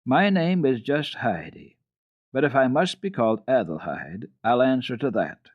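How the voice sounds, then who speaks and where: A man's voice: medium pitch, sing-song, a little slow, voice pushed up. A man as an earnest, innocent little girl, lightly raised. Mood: confident, frank. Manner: careful, distinct, earnest delivery. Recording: dull, roomy old radio recording.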